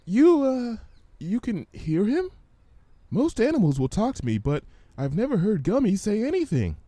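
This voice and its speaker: A man voicing a timid, anxious young female cartoon pony with a gentle, hesitant manner. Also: high-pitched, wildly swooping pitch, moderate pace, no room sound, pitched above the performer's own voice, full character voice. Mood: puzzled. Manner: hesitant, gentle, stammering when alarmed.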